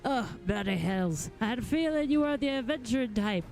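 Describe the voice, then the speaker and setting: Cranky rustic male blacksmith for a fantasy game, performed by a woman, gruff, music underneath. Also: a little high, lively intonation, brisk, darkish tone, rough recording. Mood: grumbling. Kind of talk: reading fantasy game dialogue aloud.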